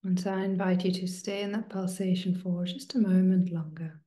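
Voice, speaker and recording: A woman meditation teacher leading a guided meditation in a hushed, soothing manner, leaving long pauses between short phrases. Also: fairly low pitch, fairly even intonation. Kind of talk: guided meditation, slow and spoken to listeners. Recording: roomy, echoing sound.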